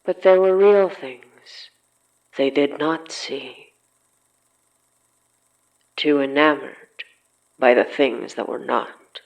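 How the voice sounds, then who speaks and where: Very slow, ominous horror narrator. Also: mid-range voice, gravelly, dull audio. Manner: ominous, deliberate, pausing between short phrases.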